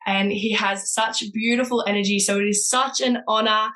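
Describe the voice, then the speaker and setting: A woman's voice: mid-range voice, fairly even intonation, normal speed, sharp tone. Bubbly woman hosting a podcast. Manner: bubbly, enthusiastic, heavy use of "like" and "so". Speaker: podcast host. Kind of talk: upbeat podcast interviewing.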